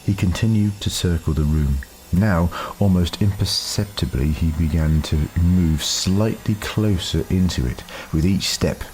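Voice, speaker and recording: A man reading scripted story narration in a measured, deliberate way, with dramatic pauses. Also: low voice, darkish tone, low-quality recording. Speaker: audiobook narrator.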